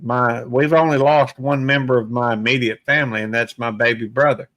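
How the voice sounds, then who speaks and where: A man in his sixties chatting casually on a group live stream, slow and halting with many fillers and restarts. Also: mid-range voice, steady tone, fairly bright tone. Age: 60s. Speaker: YouTube streamer and police supervisor.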